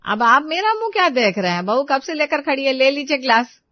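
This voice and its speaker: A woman playing a thrifty, practical young daughter-in-law who talks briskly and defensively in a family story. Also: sits high, expressive, no echo, muffled recording. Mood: exasperated.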